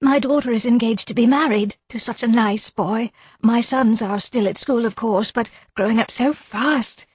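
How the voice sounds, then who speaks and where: A woman voicing a flustered middle-aged wife, high and breathy. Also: normal speed, no echo. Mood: wistful. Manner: flustered, high-strung, rising. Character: anxious middle-aged wife and mother, defensive.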